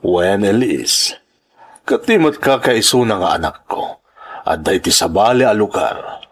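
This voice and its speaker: A man's voice: on the low side, animated, unhurried, husky, exaggerated voice. A man with a Filipino accent playing a suave, reassuring businessman in his fifties in a radio-style drama. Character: Sebastian, rich, suave businessman past fifty, courting.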